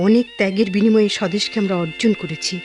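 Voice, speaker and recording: A woman's voice: medium pitch, fast, faint music behind, close to an everyday voice. An actress playing a spirited, idealistic young woman in a Bengali radio drama, speaking expressively with a Bangladeshi accent. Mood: defiant, passionate. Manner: earnest, resolute, expressive.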